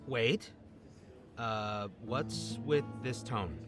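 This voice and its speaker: A man's voice: medium pitch, extremely slow, music in the background. An actor playing a wry, jokey man with a troubled past, talking in a casual, slangy, hesitant way in an audio drama. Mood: wary, joking. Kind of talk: acted audio drama dialogue.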